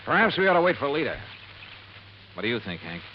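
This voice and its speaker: An actor playing a hard-boiled investigator who narrates his own case in a 1950s radio drama, crisp and brisk. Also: medium pitch, very animated, a little hiss or hum, close to an everyday voice. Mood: probing. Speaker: narrator and lead detective. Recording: muffled old radio broadcast with music.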